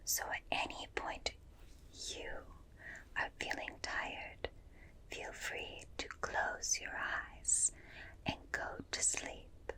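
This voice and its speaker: A woman voicing a gentle, curious alien examiner in a breathy whisper, slow and soothing, in an ASMR roleplay. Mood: gentle, reassuring. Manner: slow, soothing, softly clinical, repeats phrases. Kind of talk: ASMR roleplay, personal attention.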